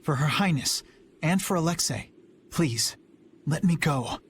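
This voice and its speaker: An actor playing an earnest, dutiful young knight captain who speaks with intensity. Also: male voice, a little high, animated, unhurried, slight background noise. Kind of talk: video game voice acting.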